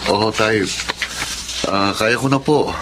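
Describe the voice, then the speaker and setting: A man acting a gentle, melancholy, earnest husband and father in a radio drama. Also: fairly low pitch, slow pace, heavy background noise.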